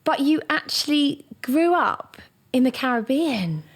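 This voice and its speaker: A woman's voice: sits high, very animated, measured pace. A woman hosting a travel podcast, reading a playful intro and chatting with a guest. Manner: playful, bubbly. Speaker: podcast host. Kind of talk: scripted intro and interview.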